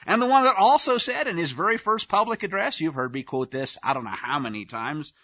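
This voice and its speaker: Husky, high, animated male preacher. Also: a little fast, no room sound, dull audio. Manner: folksy, conversational, sarcastic asides. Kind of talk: informal teaching sermon.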